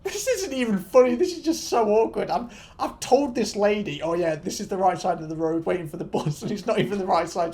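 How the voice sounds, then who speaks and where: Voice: medium pitch, darkish tone. Rambling young vlogger, self-deprecating and sweary. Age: 20s. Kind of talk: casual storytelling rant to viewers.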